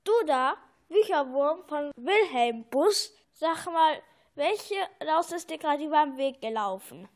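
A woman's voice: sits high, lively intonation, unhurried. A woman playing a self-important, orderly, all-knowing computer bookworm in a children's radio play.

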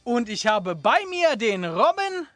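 A young man with a light, girlish voice hosting a podcast in casual, joking chat, excitable and often restarting his words. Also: very high-pitched, a little slow, dry recording. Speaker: podcast host.

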